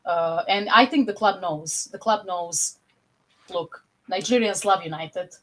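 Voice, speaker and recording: A woman's voice: lowish voice, a little slow, low-quality recording. Chatty Croatian woman, filler-heavy. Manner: animated, many fillers like "you know". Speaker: podcast co-host. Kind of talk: casual football chat on a video call.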